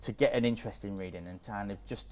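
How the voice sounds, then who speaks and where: Informal man interviewing, slightly low voice. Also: fast, darkish tone. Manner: informal, roundabout, frequent hedging phrases. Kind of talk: casual conversational interview.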